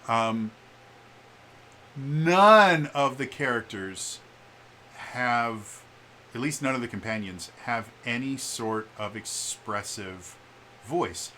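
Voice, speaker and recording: A man giving a casual, opinionated vlog, with an expressive, emphatic delivery that shifts in volume and a stutter that catches on some words. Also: medium pitch, slow, long pauses. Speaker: vlogger. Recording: background room noise.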